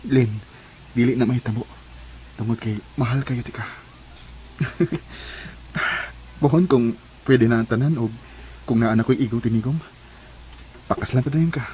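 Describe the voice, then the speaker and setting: An actor plays an earnest young suitor in a Cebuano radio drama. His voice is dark and mellow, with a Cebuano Filipino accent and a devoted manner. Faint noise sits behind it. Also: medium pitch, measured pace, long pauses, close to an everyday voice. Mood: elated, loving. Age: young adult.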